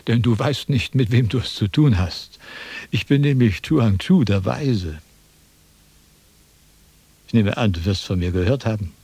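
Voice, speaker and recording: An actor playing a pompous, self-important ancient Chinese sage in a radio drama, lecturing in a condescending, impatient way. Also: male voice, on the low side, unhurried, pauses often, darkish tone, breathy edge, no echo. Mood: indignant, haughty. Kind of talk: theatrical radio drama dialogue.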